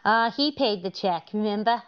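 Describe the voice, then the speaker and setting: A woman's voice: mid-range voice, moderate pace, clean tone, dull audio, close to an everyday voice. A middle-aged woman playing a sweet, scatterbrained comedian wife in a 1940s radio comedy, with innocent, earnest literal-mindedness. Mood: innocent, puzzled.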